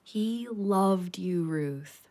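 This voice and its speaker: A woman playing a tender, grieving younger sister in an intimate audio drama, speaking in a gentle, wistful way. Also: on the low side, very slow. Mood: gentle, coaxing.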